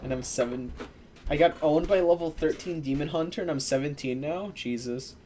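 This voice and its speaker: A man's voice: a little high, moderate pace, slight background noise. A man streaming a game, chatting casually with viewers and swearing now and then. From Eastern European descent. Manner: relaxed, swearing, chatting to viewers while playing. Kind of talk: casual gameplay commentary.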